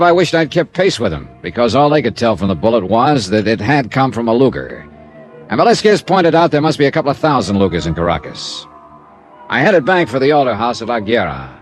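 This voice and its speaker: A hard-boiled, wisecracking investigator from a vintage radio drama, acted by a man. He has an American accent and a wry, clipped way with words, with animated pitch movement in a mid-range voice. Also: lightly acted. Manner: wry, clipped, tough-talking. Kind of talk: old-time radio detective drama, first-person narration and dialogue.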